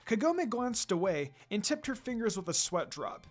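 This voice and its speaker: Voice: mid-range voice, sing-song, moderate pace, faint music behind. A person reading a fan fiction story aloud as a scripted narration. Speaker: story reader.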